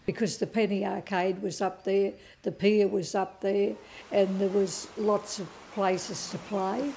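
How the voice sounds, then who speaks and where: Elderly woman in her 90s, gravelly, mellow tone, reminiscing. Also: mid-range voice, little pitch movement, unhurried, slight background noise, low-quality recording. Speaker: interviewee. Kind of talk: reminiscing interview.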